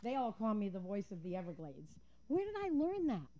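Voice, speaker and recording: A woman's voice: mid-range voice, wildly swooping pitch, brisk, mellow, dry recording, dull audio. An older woman giving a prepared public comment at a council meeting, an earnest and vivid storyteller with a few fillers. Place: city council chamber. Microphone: podium microphone.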